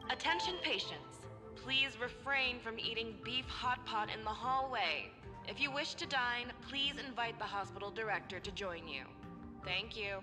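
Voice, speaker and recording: A woman's voice: a little high, normal speed. A woman voicing a polite, impersonal hospital announcer in a game, speaking formally over a reverberant public-address system. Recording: long PA reverb, music behind.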